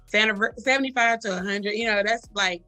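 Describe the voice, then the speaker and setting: A woman minister talks casually as a podcast guest. Her voice is bright and forward, and it sounds dry and close to the mic. She often affirms what the host says and laughs easily, with faint music in the background. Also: medium pitch, fast, no room sound, poor audio. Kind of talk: casual chat.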